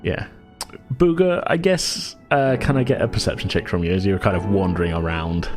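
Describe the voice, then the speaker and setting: A man's voice: sits low, moderate pace, faint music behind. A man narrating a tabletop roleplaying game as game master, casual, chuckling and rambling.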